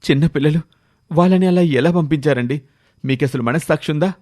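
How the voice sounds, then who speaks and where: A man's voice: a little high, a little fast, warm tone, natural voice. A man voicing a troubled, apologetic father with a helpless, pleading manner in a moral story. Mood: indignant.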